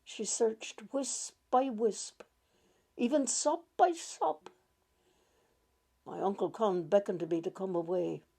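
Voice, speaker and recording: A woman reads a literary tale aloud with a gentle, deliberate delivery. Her voice is mellow and creaky, and she takes her time over each phrase at a slow pace. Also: medium pitch. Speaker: reader of short stories. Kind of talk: gentle literary story reading.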